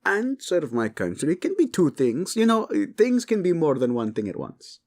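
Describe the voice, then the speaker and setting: Fantasy audio drama: male actor as flirty tiefling adventurer turned soldier, raised pitch, animated, joking, flippant. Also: moderate pace. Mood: playful, indignant.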